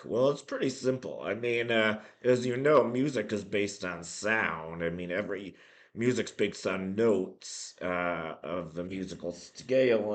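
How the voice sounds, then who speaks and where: A man with a hoarse voice from a cold, hosting a casual math lesson and explaining things with many ums, uhs and restarts. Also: fairly low pitch. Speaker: math channel host.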